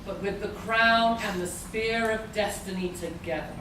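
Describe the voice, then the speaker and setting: A woman playing a grandiose, merciless gargoyle sorceress villain in a live radio play, speaking in a commanding, deliberate way. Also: mid-range voice, lightly acted. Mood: gloating, menacing. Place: live convention stage reading in a large room. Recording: echoey noisy hall, recorded from far off.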